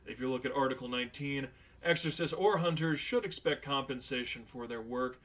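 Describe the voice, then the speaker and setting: Guy voicing a deadpan veteran demon hunter, crisp forceful mid-pitched voice, wordy, smug and unbothered. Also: a little fast, natural voice. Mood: matter-of-fact. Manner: matter-of-fact, deadpan, wordy and flippant. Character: sardonic, unflappable veteran male demon hunter. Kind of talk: dramatized fan fiction reading.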